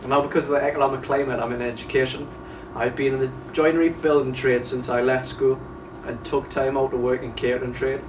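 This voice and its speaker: A man's voice: mid-range voice, level tone, fairly quick. A young man, earnest and slightly formal. His voice sits mid-range. The room is echoey and the mic is far off, with noise underneath. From North East England. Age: 20s. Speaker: interviewee. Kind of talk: casual interview answers.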